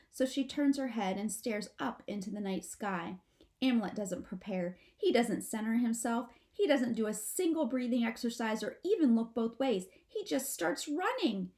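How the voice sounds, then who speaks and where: A woman narrating a children's book aloud in an expressive, measured, dramatic storytelling manner. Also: mid-range voice. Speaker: storybook reader.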